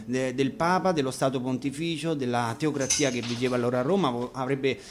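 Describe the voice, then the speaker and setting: A man's voice: mid-range voice, steady tone, brisk, slight background noise. A man, a musician giving informal, hesitant and digressive explanations between songs at an acoustic concert. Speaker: musician and band frontman introducing songs. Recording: live radio concert with instruments.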